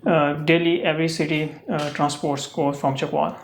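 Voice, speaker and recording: A young adult from Pakistan, whose first language is Urdu, answers exam questions in Pakistani-accented English. The replies come haltingly, padded with uh and um and built from simple phrasing, at a middling pitch. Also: flat delivery, slight background noise. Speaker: test candidate.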